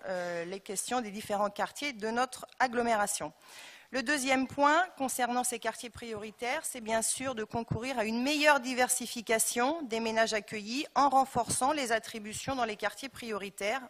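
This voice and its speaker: A woman's voice: on the high side, a bit bright. A woman giving a formal presentation as an elected official at a council meeting. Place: large council chamber. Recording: echoey council hall with long reverb.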